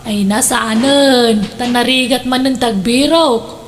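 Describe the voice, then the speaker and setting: A woman's voice: fairly high pitch, takes it slowly, slight background noise. A woman acting as a kindly, affectionate older mother in an Ilocano radio drama. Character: kindly elderly mother and employer, affectionate, patient.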